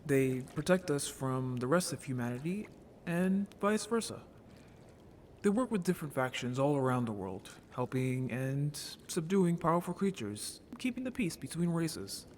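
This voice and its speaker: A man playing a shy, curious and anxious young merfolk in an audio roleplay drama, with a soft, hesitant delivery. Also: a little high, big pitch swings, moderate pace, a little hiss or hum. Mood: earnest, explaining.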